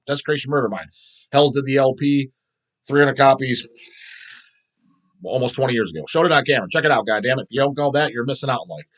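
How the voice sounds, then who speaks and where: A man's voice: mid-range voice, a bit bright. A man hosting a casual video show, rambling through his opinions in a blunt, profane way and repeating words for emphasis. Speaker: host of a video show about underground death metal. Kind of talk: casual rambling opinion vlog, answering viewer comments.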